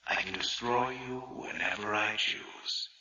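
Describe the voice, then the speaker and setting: An actor playing the vengeful, possessive spirit of a dead twin brother, taunting in breathy whispers in a radio horror drama. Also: male voice, fairly low pitch, unhurried, sharp tone, distant mic. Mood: taunting, seductive. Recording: dull, echoey, faintly noisy old radio broadcast.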